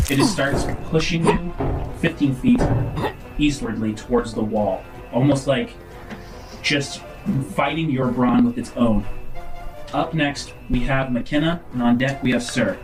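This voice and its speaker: A man working as game master, improvising dramatic tabletop narration in halting phrases. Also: mid-range voice, over music, poor audio.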